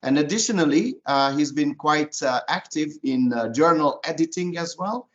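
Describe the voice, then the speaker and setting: A man moderating a webinar, introducing the next speaker in a halting way with frequent fillers. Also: fairly high pitch, animated. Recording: muffled video call audio.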